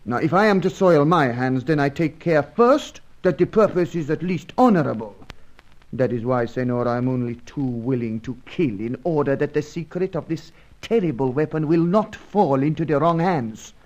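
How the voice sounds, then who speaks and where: A man's voice: fairly high pitch, animated, moderate pace, darkish tone, muffled recording, lightly acted. A man playing a loyal, shady South American manservant in a spy radio serial, speaking in formal, ornate, deferential phrases. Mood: sly, grave. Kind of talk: old-time spy radio serial acting.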